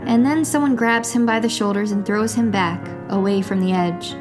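An actress playing a wry, dramatic broadcaster on a remote watchtower in an audio drama, with a wordy, theatrical delivery. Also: female voice, mid-range voice, fairly even intonation, normal speed, music in the background, lightly acted. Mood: hushed storytelling. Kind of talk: audio drama acting with storyteller monologues.

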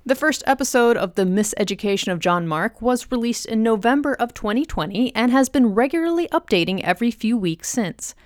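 A woman's voice: fairly high pitch, lively intonation, a little fast, few pauses, dry recording, studio quality. A woman hosting a podcast, reading a scripted introduction. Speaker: podcast host.

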